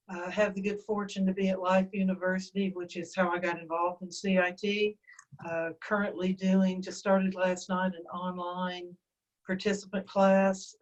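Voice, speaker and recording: A woman's voice: fairly low pitch, highs cut off. A woman, a group facilitator, chatting casually as she gives an update over a phone on a group call. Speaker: group facilitator giving an introduction.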